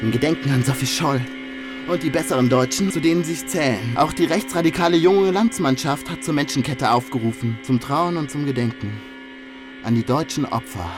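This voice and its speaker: A man's voice: medium pitch, a little fast, over music. A man delivering scripted reportage in a dry, ironic manner.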